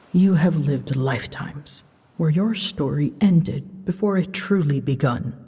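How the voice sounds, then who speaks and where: A woman playing an ancient female dragon in a fantasy ASMR drama, solemn and tender, speaking softly in measured, formal phrases and often near a whisper. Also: low-pitched, a little hiss or hum. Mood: wry, solemn. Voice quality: soft, breathy, husky, often dropping into a whisper. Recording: long cavernous reverb.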